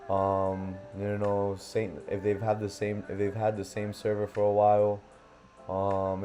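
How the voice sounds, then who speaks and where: A man's voice: fairly low pitch, warm tone. A young man from Miami hosting a live stream, talking casually and loosely, thinking aloud with lots of fillers. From Miami, USA. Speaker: livestream host, forex trader. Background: faint lo-fi background music.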